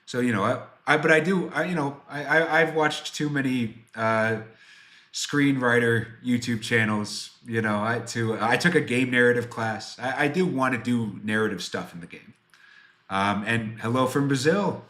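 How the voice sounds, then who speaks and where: A man's voice: medium pitch, normal speed, a bit bright, reverberant. A man in his twenties chatting casually on a livestream as a game developer, answering viewers in a rambling, self-deprecating way. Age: 20s.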